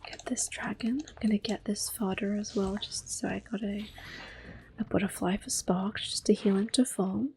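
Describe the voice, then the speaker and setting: A woman hosting a relaxing game playthrough, talking mostly in a gentle, unhurried whisper with small exclamations here and there. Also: mid-range voice, steady tone, soft background music. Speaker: gaming ASMR host. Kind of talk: soft whispered game commentary.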